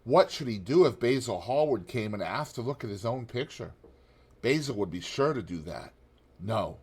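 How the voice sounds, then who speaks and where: A man reading a classic novel aloud in measured narration, dropping low and soft on grave lines. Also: expressive, husky. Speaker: audiobook narrator.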